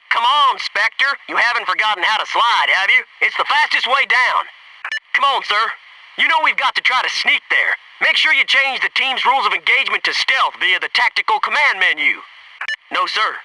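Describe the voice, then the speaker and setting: An American actor playing a brash, upbeat, teasing soldier in a military video game, with punchy, cocky, informal delivery. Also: male voice, high-pitched, sharp tone, distant mic, a little hiss or hum, full character voice, rough recording. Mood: impatient.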